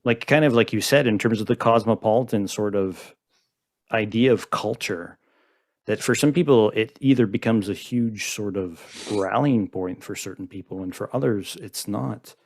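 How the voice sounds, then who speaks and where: A man in his forties, originally from Montreal, hosts a relaxed podcast chat. His voice sits somewhat low, and he works through his thoughts in long, winding sentences that keep restarting. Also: normal speed. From Montreal, Canada. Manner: rambling, many "I mean" restarts. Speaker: podcast host. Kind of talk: casual podcast conversation.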